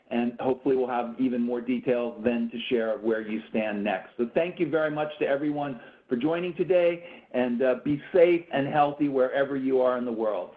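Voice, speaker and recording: Casting: male moderator, polite and halting, tinny phone-like video call sound. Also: mid-range voice. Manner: polite, hesitant, restarts.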